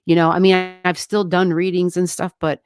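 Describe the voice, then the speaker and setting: Low-voiced rambling woman streaming from home. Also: flat delivery, brisk, clean tone, no echo. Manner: rambling, chatty, laughs often. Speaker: astrology livestream host. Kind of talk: casual livestream chat with viewers. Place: home room, not soundproofed. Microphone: desk microphone, turned down halfway.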